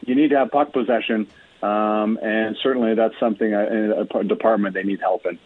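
A man with a husky voice giving conversational sports analysis as a guest, wordy and often restarting his sentences. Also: on the low side, fairly even intonation, brisk, no echo. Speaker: sports reporter, show guest. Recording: tinny, narrow phone-like line.

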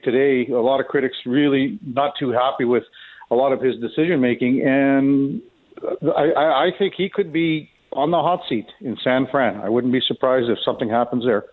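A man's voice: medium pitch, moderate pace. A man giving casual sports analysis over a phone line, conversational, often repeating and rephrasing his points. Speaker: sports commentator. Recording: tinny, phone-like narrow band.

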